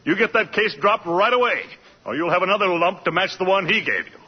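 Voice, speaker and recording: An actor playing a blustering, commanding department-store owner in 1940s radio-drama style. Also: male voice, high voice, expressive, brisk, husky. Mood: indignant. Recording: muffled 1940s radio broadcast with long reverb.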